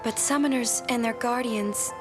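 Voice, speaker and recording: Fantasy game heroine: actress as young summoner, high voice, earnest and upbeat, music behind. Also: female voice, moderate pace, close to an everyday voice. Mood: earnest, wistful. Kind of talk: video game cutscene voice acting.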